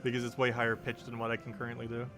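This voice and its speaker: A young man with a low voice, chatting casually on a live stream while he games, wandering off on tangents and laughing often. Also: completely flat delivery, very fast, over music. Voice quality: low-pitched voice.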